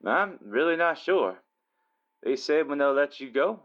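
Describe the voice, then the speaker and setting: A man's voice: a little high, big pitch swings, unhurried, a bit bright, full character voice. A man playing an apologetic, casual friend, hedging over the telephone in a radio drama. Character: apologetic friend eager to get home. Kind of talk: naturalistic radio drama phone dialogue. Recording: muffled telephone call.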